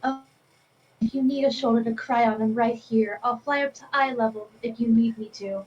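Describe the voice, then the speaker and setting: A woman's voice: a little high, fairly even intonation, normal speed, a little mellow, soft background music, lightly acted. A woman doing a tiny sweet sarcastic angel. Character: tiny cheerful winged woman archaeologist. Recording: distant dull lo-fi mic.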